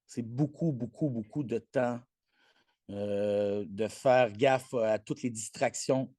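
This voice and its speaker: A self-assured trainer in his forties talks with a Québécois French accent, Spanish being his first language. His voice is mellow and warm, caught dry and close. He boasts freely and trips over his own restarts. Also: mid-range voice, slow, no room sound. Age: 40s. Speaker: guest, athletic trainer. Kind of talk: casual interview chat.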